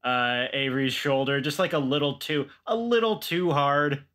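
A man's voice: medium pitch, normal speed, a bit bright. A man playing a tabletop game, casually improvising what his character does. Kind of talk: casual improvised roleplay narration.

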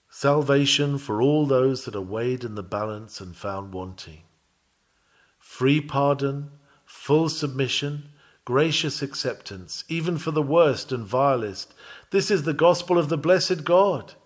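A man delivers a written sermon in a solemn preaching style. His voice sits at a medium pitch. His phrasing is formal and rhetorical, with an earnest, measured manner throughout. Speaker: reader of a historical sermon.

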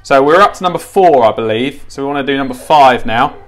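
A man's voice: medium pitch, animated, fairly quick. A man giving a casual, unscripted hands-on tutorial, informal, repeating himself and thinking aloud as he goes. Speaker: presenter of a gadget how-to. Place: indoors, tiled kitchen counter.

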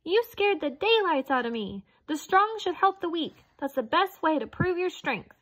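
A woman voicing a small indignant female mouse from a children's storybook, squeaky and scolding. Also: very animated, moderate pace, fairly bright tone, full character voice, low-quality recording.

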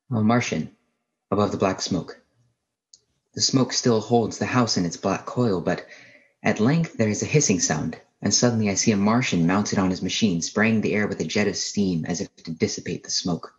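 An actor playing a haunted astronomy professor, lone survivor of an alien invasion, narrating a radio play in a halting, brooding, literary way. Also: male voice, fairly low pitch, lo-fi sound, natural voice. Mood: weary, grim. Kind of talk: dramatic first-person radio play narration.

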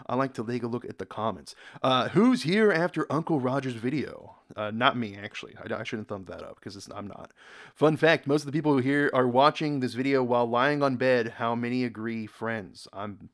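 A man's voice: mid-range voice, normal speed. A young man from the United States hosting a casual reaction video, rambling, repeating words and reading comments aloud from a dim room.

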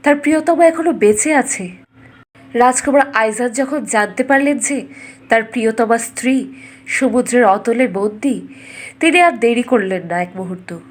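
Female fairy-tale narrator: slightly high register, expressive, dramatic scripted storytelling. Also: normal speed.

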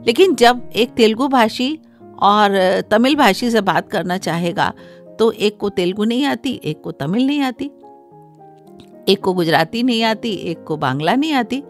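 A middle-aged woman explaining formally as a studio guest, pausing between phrases. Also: on the high side, expressive, soft background music. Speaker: interview guest, Hindi scholar. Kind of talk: explanatory radio interview. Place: small acoustically treated radio studio. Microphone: close studio microphone on a desk stand.

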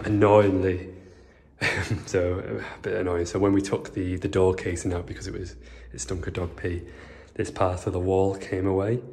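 A man's voice: sits low, a little mellow. A young man in his twenties giving a chatty, rambling house-tour vlog with lots of fillers, recorded on a phone. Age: 20s. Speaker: property renovation vlogger. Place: empty house under renovation, indoors. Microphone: phone camera, handheld then propped up. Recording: echoey bare rooms.

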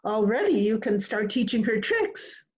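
A woman's voice: fairly high pitch, big pitch swings, normal speed. A woman playing a cheerful, matter-of-fact vet in old-time radio comedy style over a video call. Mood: cheerfully proud. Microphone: video call computer mic. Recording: slightly dull video-call audio.

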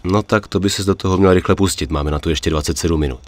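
A man's voice: deep voice, no room sound, natural voice. A man playing a reserved young mafia driver in a video game, understated and matter-of-fact. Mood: businesslike. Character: reserved young male mafia driver, reluctant but obedient. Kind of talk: dubbed video game cutscene acting.

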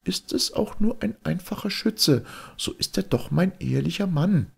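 A man's voice: high voice, animated, moderate pace, creaky, darkish tone, breathy edge, voice pushed up, exaggerated voice. A man voicing a proud, indignant young wife in a storybook fairy tale. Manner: lofty, indignant.

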